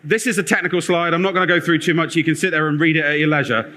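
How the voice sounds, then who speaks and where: A man with a loud, bright voice presents on a conference stage, pitched a little high for a man. He talks in an energetic, joking way, wandering about as he explains. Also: rapid-fire. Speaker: conference speaker, product lead. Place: conference theatre.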